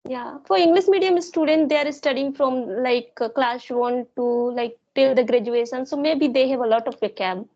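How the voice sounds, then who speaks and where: A woman, a native Hindi speaker, talks hesitantly in a casual English practice chat, leaning on fillers. Her voice is quite high. The sound is dull and lo-fi, like call audio. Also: normal speed, hardly any pauses, mellow. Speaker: guest sharing her learning journey.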